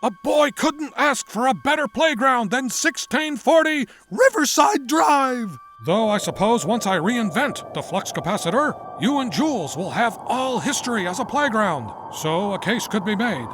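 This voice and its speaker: A man voicing an eccentric, excitable middle-aged inventor in a motion comic, theatrical, wordy and forever digressing. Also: very high-pitched, unhurried, slight background noise, full character voice. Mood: grandiose, rambling. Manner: verbose, digressive, grandiose.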